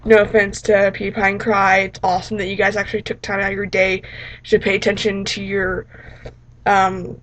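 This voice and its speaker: A woman's voice: mid-range voice, completely flat delivery, normal speed, fairly bright tone, slight background noise, rough recording. A woman vlogger chatting casually in a rambling, informal, self-deprecating way for a reaction video. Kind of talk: casual reaction vlog chat.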